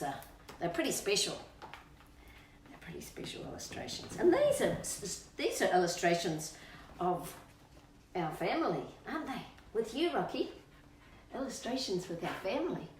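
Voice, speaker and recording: Australian woman, animated intonation, playful children's storytime chat, coaxing sing-song questions, echoey home room. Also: lowish voice, a little hiss or hum. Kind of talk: chatty children's storytime, talking to kids and her dog. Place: indoors at home, on a couch. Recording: roomy echo, distant microphone.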